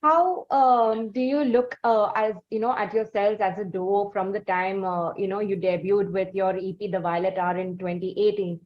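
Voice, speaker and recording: A woman's voice: medium pitch, fairly even intonation, moderate pace, few pauses. A woman hosting an interview, asking long questions with many hesitant fillers. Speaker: interview host. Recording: dull lo-fi sound.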